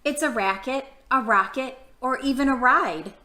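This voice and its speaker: A woman's voice: high-pitched, normal speed, sharp tone, a little hiss or hum. Woman reading storytime in an echoey room. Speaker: children's storytime host and librarian. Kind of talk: reading a picture book aloud to children, with chatty asides.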